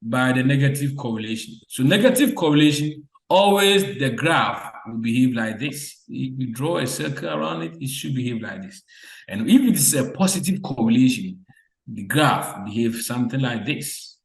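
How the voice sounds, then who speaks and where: A man's voice: medium pitch, roomy sound, poor audio. A man teaching an online lecture who quizzes students with repeated short questions and restates his points. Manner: repetitive call-and-response questioning.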